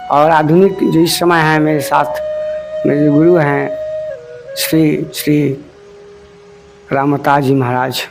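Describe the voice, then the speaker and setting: A middle-aged man speaks in a noticeably high voice as a spiritual teacher explaining devotional verses. He rambles and circles back, repeating points and calling out to his listeners as friends. Soft music plays faintly underneath. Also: low-quality recording. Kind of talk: informal devotional talk to viewers.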